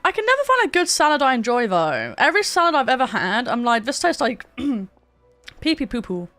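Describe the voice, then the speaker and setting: A woman's voice: high voice, huge pitch swings, normal speed, sharp tone. A woman livestreamer chatting casually while gaming, with a sweary, joking and rambling manner. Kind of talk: casual game stream chat. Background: game music.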